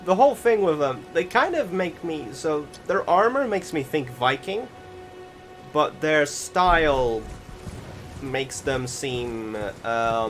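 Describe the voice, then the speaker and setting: A man giving casual, rambling voice-over commentary on recorded gameplay, often halting and restarting his sentences. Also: medium pitch, a bit bright. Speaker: gaming video host. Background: music behind the voice.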